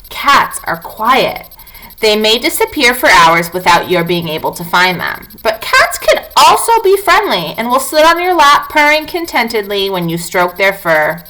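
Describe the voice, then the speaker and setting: A woman's voice: mid-range voice, sharp tone, poor audio. A woman teacher reading a non-fiction book aloud to young children, talking to them directly with questions and prompts.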